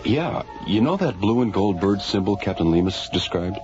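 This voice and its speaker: A studious male researcher played by an actor explains things in a casual, conversational way with a lowish voice. It is a radio drama with dull sound and music behind. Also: animated, normal speed, lightly acted. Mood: earnest. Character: studious, informal male researcher on a space station.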